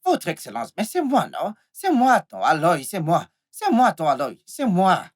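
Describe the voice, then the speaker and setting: A man's voice: sits high, wildly swooping pitch, moderate pace, exaggerated voice. A man playing a volatile, scheming husband in a film melodrama, repeating himself and stammering through his words. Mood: coaxing, placating. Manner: repetitive, stammering delivery. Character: volatile, scheming unfaithful husband with a short temper.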